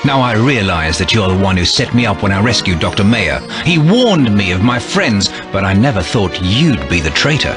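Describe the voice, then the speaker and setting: A man's voice: on the low side, very animated, moderate pace, hardly any pauses. A man playing a noble, resolute soldier and secret agent in a dramatic video game cutscene, with music behind him. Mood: grim, defiant.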